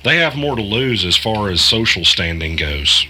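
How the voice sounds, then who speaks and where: Male actor as doubting, rattled everyman in a conspiracy drama: fairly deep, tense, curt, hesitant. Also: very animated, moderate pace, bright, forceful tone, soft background music, full character voice. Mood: skeptical. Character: skeptical, rattled everyman player caught in conspiracy. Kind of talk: audio drama dialogue and first-person narration.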